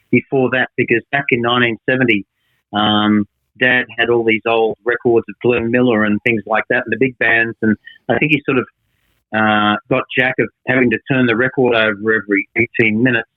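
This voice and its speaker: A man's voice: mid-range voice, fairly even intonation, brisk, no echo. A man, a guitarist guest, speaking hesitantly in a casual interview over a phone line. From Australia. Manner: hesitant, repeats his words.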